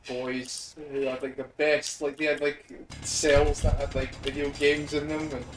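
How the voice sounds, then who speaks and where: A man's voice: a little high, little pitch movement, measured pace, far from the mic, music in the background. A man joining a friend's game stream, rambling through darkly funny stories with lots of "like" and filler. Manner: rambling storyteller, dark humor, lots of "like". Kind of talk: casual chat during gameplay. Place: shared room at a home gaming gathering. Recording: roomy, off-mic voice.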